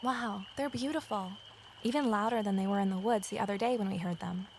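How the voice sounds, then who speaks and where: An actress playing an earnest woman amateur investigator in an audio drama, talking in a gentle, sincere, conversational way. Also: mid-range voice, fairly quick, a little hiss or hum, lightly acted. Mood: touched. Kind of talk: audio drama dialogue and first-person narration.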